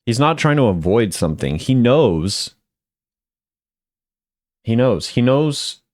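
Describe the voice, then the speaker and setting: A man's voice: mid-range voice, sing-song, takes it slowly, darkish tone, no echo, clean studio sound. A man giving casual chatty commentary as a video creator, often repeating phrases for emphasis. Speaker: video creator ranking game voice lines.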